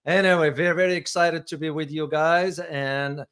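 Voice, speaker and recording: A middle-aged man co-hosting a casual chat show, with a loud voice and a halting way of talking full of restarts. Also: on the high side, fairly even intonation, clear, resonant tone. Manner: loud voice, hesitant with many restarts. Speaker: co-host. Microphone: close mic.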